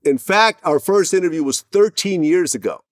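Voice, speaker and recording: A man's voice: high voice, expressive, normal speed, no echo, studio quality. A man hosting an interview, greeting his guest and asking questions. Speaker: interview host.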